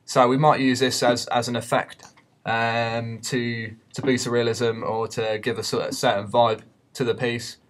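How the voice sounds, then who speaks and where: A man giving an unscripted software tutorial in a hesitant, explanatory way. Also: medium pitch, little pitch movement, moderate pace. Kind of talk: unscripted screen-recorded tutorial. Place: voice-over for a screen recording.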